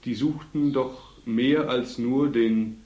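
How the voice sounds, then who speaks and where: A man's voice: medium pitch, fairly even intonation, slow pace, a little mellow, reverberant, highs cut off. A man conducting an interview, asking brief, probing questions.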